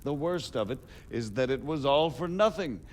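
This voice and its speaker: Actor as diplomatic medieval nobleman, lively intonation. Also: medium pitch, slight background noise, lightly acted. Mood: grave, persuasive. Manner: formal, deliberate, explanatory. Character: diplomatic medieval margrave, measured and politically shrewd. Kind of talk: dramatic video game cutscene acting.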